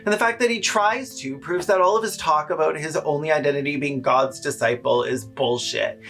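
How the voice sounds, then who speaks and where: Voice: medium pitch, a bit bright. A video essayist giving scripted, sarcastic commentary with casual swearing and comedic asides.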